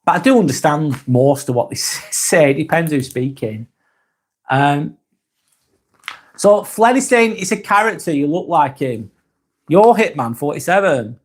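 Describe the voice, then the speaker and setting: Soft-spoken Lancashire man reading live chat. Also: on the high side, sing-song, measured pace. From Lancashire, England. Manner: reads comments aloud, hesitant with fillers, soft-spoken. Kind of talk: casual live-stream chat.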